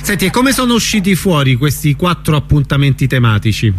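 A man's voice: fairly high pitch, very animated, fairly quick. A man conducting an informal, somewhat hesitant conversational radio interview. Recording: noisy radio recording with hum.

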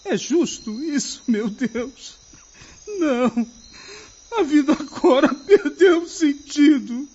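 A man playing a despairing, grief-stricken young widower and father in a radio drama, speaking slowly in anguish. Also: very high voice, expressive, a little mellow, full character voice. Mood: grieving, despairing. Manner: slow, anguished delivery. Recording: muffled, lo-fi radio play audio.

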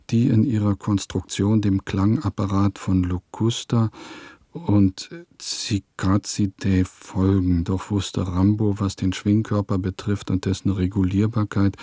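An actor playing an earnest, pedantic and quietly proud composer, reading his diary in a measured, precise way for a radio play. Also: on the low side, no room sound, clean studio sound.

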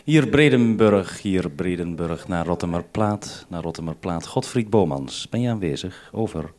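A man's voice: sits low, lively intonation, normal speed, darkish tone. A man working as a radio reporter, speaking in a live link-up on an old broadcast recording. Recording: old radio recording with faint noise, clicks.

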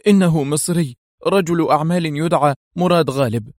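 Guy doing a shaken doctor nearly crying, agitated, pitched up, audiobook thriller, dry recording. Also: brisk, a little mellow, no echo, close to an everyday voice. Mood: bitter. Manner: agitated, close to tears. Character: distraught, trembling male surgeon, wrongly accused brother.

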